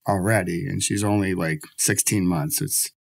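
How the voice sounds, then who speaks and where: A man hosting a podcast from his home office, talking in a relaxed, chatty way with lots of casual fillers. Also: low-pitched, moderate pace, creaky. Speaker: podcast host. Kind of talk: casual interview chat.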